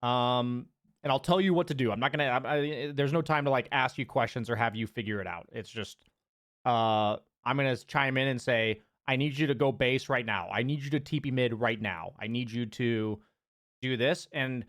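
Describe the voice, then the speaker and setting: A relaxed man coaching a player live on his stream. He talks loosely, with plenty of fillers and the odd swear word, at a middle pitch for a man. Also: moderate pace. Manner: loose and chatty, many fillers, casual swearing. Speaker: game coach. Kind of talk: casual one-on-one coaching. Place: streaming from home.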